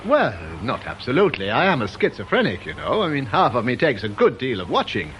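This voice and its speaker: An actor playing a supercilious, eccentric townsman in a radio drama, theatrical and drawn-out. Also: medium pitch, wildly swooping pitch, a bit bright, a little hiss or hum, rough recording. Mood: haughty. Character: arch, haughty, eccentric male townsman.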